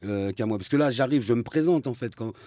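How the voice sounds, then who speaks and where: A man's voice: mid-range voice, quick pace, no room sound. A man with a Parisian accent, a comedian guest chatting casually and jokingly in a radio interview. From France. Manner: chatty, lively, joking, frequent restarts.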